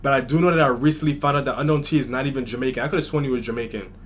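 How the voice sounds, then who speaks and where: A man's voice: mid-range voice, fairly even intonation, very fast, a little hiss or hum. A man from New York hosting a music reaction video, chatting casually and thinking aloud. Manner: chatty, thinks aloud with fillers like "like" and "you know". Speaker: reaction channel host.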